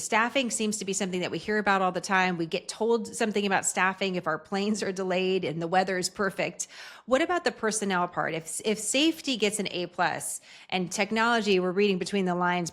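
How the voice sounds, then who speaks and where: An American woman works as a news interviewer, with a voice pitched a little low for a woman. She talks conversationally and informally while pressing her guest with probing questions. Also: brisk, fairly bright tone.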